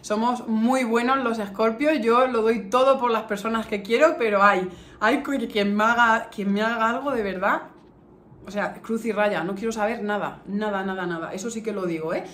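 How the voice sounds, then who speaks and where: A woman's voice: fairly high pitch, normal speed, fairly bright tone. A woman in her early thirties with a Castilian accent from La Mancha, chatting casually and affectionately to her viewers with emphatic repetitions. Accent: Castilian Spanish from La Mancha. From Albacete, La Mancha, Spain. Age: early 30s. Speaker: beauty vlogger. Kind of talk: casual chat to camera, answering viewer questions. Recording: echoey room with faint noise.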